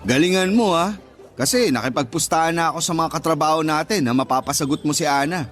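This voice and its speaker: An actor playing a teasing best friend and kitchen coworker, mocking and jokey, in a Tagalog radio drama. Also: male voice, on the high side, fast, a bit bright, close to an everyday voice.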